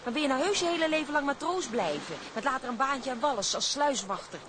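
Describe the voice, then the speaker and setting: A caring young woman speaks in a soothing, encouraging way with lively pitch. Her voice sits fairly high. Clear background noise runs under it. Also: quick pace. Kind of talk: radio drama acting.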